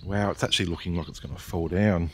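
A man's voice: low voice, quick pace. A man with an Australian accent hosting a vlog, chatting casually to viewers while he explores the countryside outdoors, with wind on the camera mic. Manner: relaxed, chatty, talks to viewers as he goes. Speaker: vlog host exploring abandoned places. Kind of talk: casual walk-through narration. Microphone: camera mic, wind noise.

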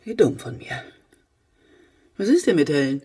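Fussy, husky middle-aged mother. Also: low-pitched, sing-song, normal speed, dark tone, recorded from a distance, exaggerated voice. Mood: curious, flustered. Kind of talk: German radio play acting.

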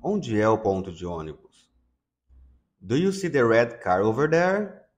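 A man from Brazil with a Brazilian accent, teaching English by repeating phrases slowly and translating them. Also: mid-range voice, very animated, lo-fi sound. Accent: Brazilian Portuguese. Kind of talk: slow bilingual lesson, repeating phrases.

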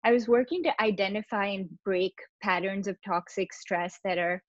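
A young woman from Pakistan, an artist, talks through her prints on a video call in a relaxed, explanatory way with many ums. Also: mid-range voice, moderate pace, no echo. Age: young adult. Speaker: exhibiting artist. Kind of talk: online artist talk with slides. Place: room at home. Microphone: laptop video call. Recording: dull video-call audio.

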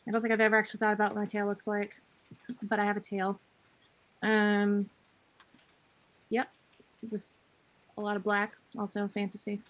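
A woman's voice: medium pitch, completely flat delivery, moderate pace, long pauses, low-quality recording. A woman on a video call describing her tabletop character in a casual, giggly way. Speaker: player. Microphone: webcam video call.

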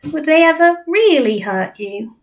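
A woman's voice: high-pitched, expressive, measured pace, far from the mic, pitched above the performer's own voice. A woman voicing a small, frightened girl who keeps asking worried questions in a storybook reading. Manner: anxious questions.

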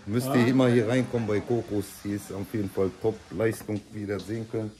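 A man's voice: fairly low pitch, level tone, fairly quick, dark tone, poor audio. A man in his thirties vlogging casually to a handheld camera, chatty and joking with his viewers. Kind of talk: casual vlog narration to camera. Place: hair salon and city street. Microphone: handheld camera at arm's length.

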